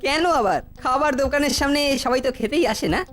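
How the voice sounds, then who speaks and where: A woman's voice: high voice, quick pace, lightly acted. A woman voicing a cocky boy sidekick in a children's cartoon, teasing and boastful.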